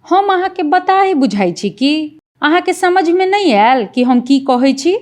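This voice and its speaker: A woman voicing a scornful, bossy young daughter-in-law in a cartoon, sharp-tongued, with a Maithili accent. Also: sits high, expressive, measured pace. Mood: bossy, impatient. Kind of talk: Indian cartoon dialogue.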